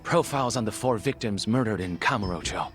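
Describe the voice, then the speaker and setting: A man's voice: lowish voice, lively intonation, fairly quick, faint music behind, lightly acted. An actor playing a polite, studious male investigator's assistant in a game cutscene, wording things formally and precisely. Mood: polite, earnest. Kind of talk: dramatic game cutscene dubbing.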